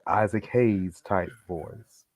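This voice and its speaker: A man co-hosting a podcast, slightly hoarse from a sinus infection, telling stories with acted-out quotes. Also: lowish voice, slow, no echo. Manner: animated storyteller, acts out quotes. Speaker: podcast co-host. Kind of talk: casual podcast chat.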